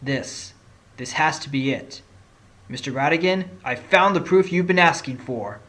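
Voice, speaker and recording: A man playing a confident, articulate defense lawyer with a quick wit in an audio drama. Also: fairly high pitch, a bit bright. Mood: confident, argumentative. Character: confident, quick-witted male defense lawyer with teasing streak. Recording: music behind the voice. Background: background music.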